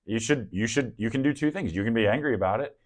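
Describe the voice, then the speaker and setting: A man's voice: medium pitch, animated, fast talker. A man from the American South, a real estate agent as guest, talking earnestly and reflectively with frequent ums and restarts. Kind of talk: casual interview conversation.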